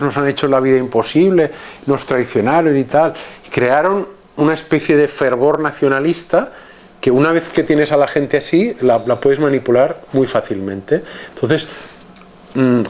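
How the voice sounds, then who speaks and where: A man with a Spanish accent from Catalonia, a guest in a casual conversation, talking in a halting way with many restarts and fillers. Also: mid-range voice, darkish tone.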